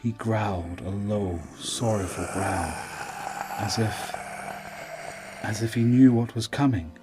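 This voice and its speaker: A man narrates an audio drama in a low voice. His delivery is scripted and dramatic, and music plays behind him. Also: slow, a little mellow.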